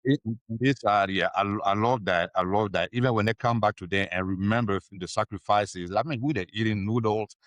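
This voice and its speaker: A man's voice: fairly low pitch, brisk, a bit bright, no echo. A man from Ivory Coast with an Ivorian French accent, a native French speaker, answering interview questions hesitantly with many fillers. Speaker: podcast guest. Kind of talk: casual interview talk.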